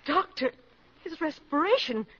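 A firm nurse in an old radio play, with a high, sharp voice. She is brisk, concerned and authoritative, heard through a muffled broadcast. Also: animated, full character voice. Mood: firm, urgent. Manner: crisp, authoritative, urgent. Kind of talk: 1950s radio drama acting.

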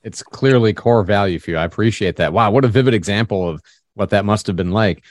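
A man's voice: on the low side, brisk, no room sound. Affirming male host, dry close mic. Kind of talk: hosting an interview.

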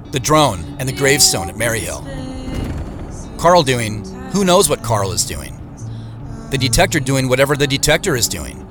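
Audio drama role: male actor as troubled, earnest man, animated pitch swings, scored with music. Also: lightly acted. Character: anxious, remorseful man chasing a mystery, narrating his story. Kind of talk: audio drama dialogue and first-person narration.

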